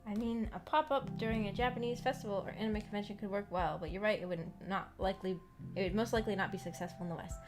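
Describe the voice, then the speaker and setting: An American woman streamer chatting casually in a rambling, halting, giggly way. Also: medium pitch, fairly even intonation. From United States. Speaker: streamer, visual novel book club host. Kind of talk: casual livestream chat. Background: background music.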